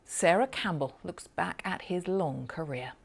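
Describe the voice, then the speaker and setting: A British woman reads scripted broadcast news. Her voice sits a little low, and her phrasing is formal and emphatic, as a presenter's. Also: a little slow, vocal fry.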